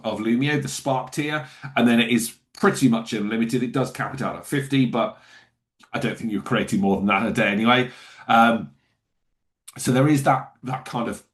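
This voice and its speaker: A man walking viewers through software in a webinar, talking casually and loosely with fillers and self-corrections. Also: medium pitch. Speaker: software trainer. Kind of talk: webinar screen-share walkthrough.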